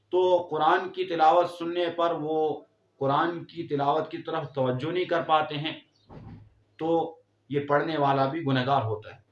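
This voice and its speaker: A man giving a religious explainer talk as an Islamic teacher, in a measured, didactic way. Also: on the high side. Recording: echoey room, dull muffled sound.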